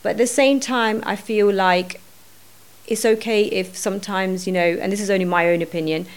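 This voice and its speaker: Brief: woman guest, earnest and reflective, loose spoken phrasing, middle pitch. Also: fairly even intonation, moderate pace. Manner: earnest, thinking aloud with many "you know"s. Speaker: podcast guest. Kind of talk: reflective podcast conversation.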